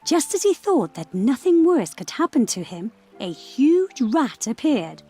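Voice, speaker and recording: A woman storyteller narrating a scripted fairy tale for children in a measured, expressive way. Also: sits high, slightly rough, soft background music.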